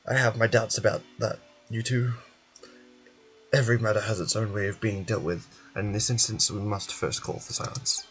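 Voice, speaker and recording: A man voicing a polite, officious male research official in a fantasy game, measured and guarded in manner. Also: lowish voice, steady tone, a bit bright, poor audio. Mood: formal, guarded.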